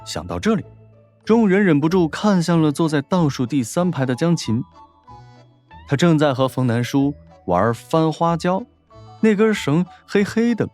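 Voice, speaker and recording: A man's voice: fairly high pitch, a little mellow. A man narrating a scripted story as an audiobook narrator, in a dramatic storytelling style.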